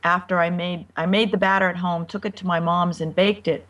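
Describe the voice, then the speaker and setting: American woman, soft and a bit distant. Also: on the low side, level tone. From United States. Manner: friendly, halting with ums. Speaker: cookbook author, guest. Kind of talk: interview answers.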